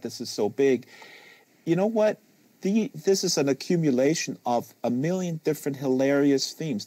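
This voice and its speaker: Mellow-voiced man chatting casually. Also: medium pitch, no echo. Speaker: host of a live social VR book reading. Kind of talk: casual, unscripted live conversation.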